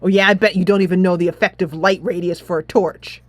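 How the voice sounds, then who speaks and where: A woman's voice: fairly low pitch, steady tone, fast talker, no room sound, close to an everyday voice. A woman reading a pompous, indignant teenage boy gamer in a video game, huffy and dismissive. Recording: music playing behind.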